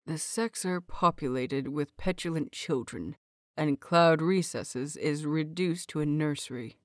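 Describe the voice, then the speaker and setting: Woman doing a strict older uncle teacher in an audio story, muttery, formal, low and fed up, dry sound. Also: unhurried, no room sound. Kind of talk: audio story character reading.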